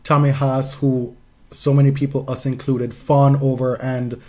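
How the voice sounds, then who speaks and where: A man with a relaxed, mellow timbre and middling pitch talks casually as a sports podcast co-host. He states his opinions emphatically and swears freely. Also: measured pace. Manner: emphatic, frequent profanity.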